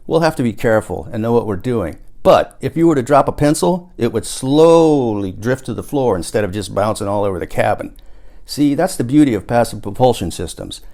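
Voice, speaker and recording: A man voicing an excitable, conspiracy-minded amateur rocket schemer in audiobook dialogue, explaining things emphatically. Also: mid-range voice, fairly quick, natural voice. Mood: cautioning, explaining. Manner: emphatic, explanatory, catchphrase-prone.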